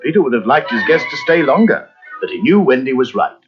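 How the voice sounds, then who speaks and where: A man narrating a children's story from a script in an expressive storytelling manner. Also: medium pitch, fairly quick. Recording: dull lo-fi storybook record with music.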